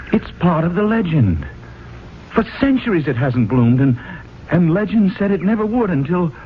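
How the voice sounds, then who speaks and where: An earnest young man in a vintage radio drama. His voice is a little high and swings with feeling, halting in wonder. The sound is dull, with a faint hiss. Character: earnest, devoted young man, amazed and concerned. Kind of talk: 1970s radio drama acting. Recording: muffled, hissy 1970s radio recording.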